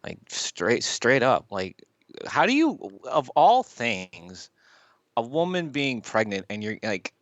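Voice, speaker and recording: A man reacts as a podcast co-host. He speaks in a blunt, casual, profane way at a medium pitch. The recording is dry, with little room sound. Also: sing-song, takes it slowly, no room sound, highs cut off. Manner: blunt, profane, exasperated interjections. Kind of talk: casual chat, reacting to news.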